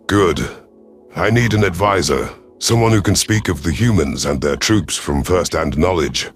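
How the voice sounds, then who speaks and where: A man voicing a stern, shrewd alien predator chief in an audio drama, speaking in a formal, measured, authoritative way. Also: sits low, lively intonation. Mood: shrewd, commanding. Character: commanding alien predator rebel leader, male, shrewd and stern. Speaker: military chief narrating his own story. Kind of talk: audio drama narration and dialogue. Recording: music behind the voice.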